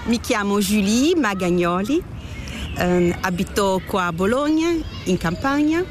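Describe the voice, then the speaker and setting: A woman from Liège answers questions earnestly and with self-assurance. She is recorded on a city street, with cars passing clearly behind her. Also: mid-range voice, lively intonation, a little slow, a little hiss or hum. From Liège, Belgium. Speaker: interviewee. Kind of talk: interview answers, partly in Italian.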